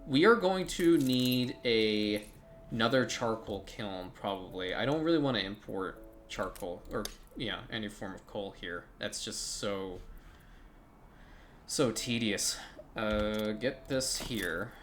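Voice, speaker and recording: A man's voice: on the low side, lively intonation, slow pace, soft background music. A man giving casual, rambling commentary while playing a strategy game, thinking aloud and swearing now and then.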